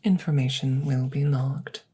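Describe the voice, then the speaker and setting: A woman voicing a formal, curious alien examiner in an ASMR roleplay, soft and breathy, often whispering, with precise clinical phrasing. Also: extremely low pitch, fairly even intonation, unhurried, a little hiss or hum. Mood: clinical, hushed. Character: formal, curious female alien examiner interviewing a rescued creature.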